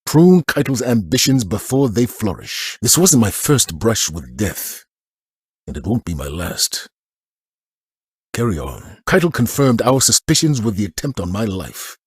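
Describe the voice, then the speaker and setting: Grave military leader for a video game, solemn, voice swings up and down, really dry recording. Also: male voice, on the low side, very animated, breathy edge, no room sound, heavily acted. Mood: grave, resolute, then guarded, stern. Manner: solemn, measured, authoritative. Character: grave, steadfast male military commander of a city. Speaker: military commander character. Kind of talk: scripted video game voice acting.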